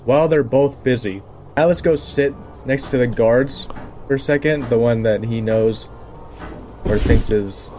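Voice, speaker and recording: Male tabletop player, medium pitch, narrating character actions, light background noise. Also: a little slow, mellow.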